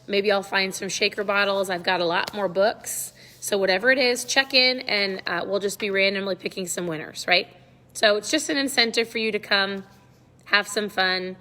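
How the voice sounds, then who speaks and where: A middle-aged woman with a slightly hoarse voice, chatting casually and encouragingly to viewers as the host of a livestream. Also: medium pitch, fairly bright tone, big-room sound. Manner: upbeat, encouraging, conversational. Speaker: online coach hosting a live check-in.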